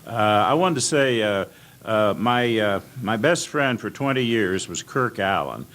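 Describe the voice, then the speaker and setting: An older American man telling anecdotes as a guest on an informal panel, halting and rambling as he reminisces. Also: on the low side, expressive, fairly bright tone. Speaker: panel guest at old-time radio convention. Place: indoor convention panel room. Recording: clearly noisy convention room recording.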